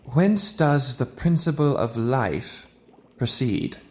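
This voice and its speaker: An actor playing an obsessed young gentleman scientist in an audio drama, speaking with fervent, formal, declamatory diction. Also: medium pitch, animated, slow pace. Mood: excited, fervent. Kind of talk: theatrical audio drama acting.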